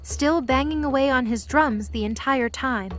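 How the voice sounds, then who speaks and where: A woman's voice: high-pitched, moderate pace. A woman reading scripted story narration as the narrator of an audio drama.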